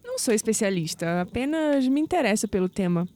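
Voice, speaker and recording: Woman acting coy young Brazilian woman, mellow voice. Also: fairly high pitch, sing-song, a little fast. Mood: poised, explaining. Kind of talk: audio drama acting.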